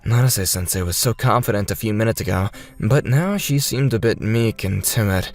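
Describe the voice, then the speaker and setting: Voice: mid-range voice, normal speed, over loud music. A narrator reading a scripted manga dub story in a dramatic storytelling style.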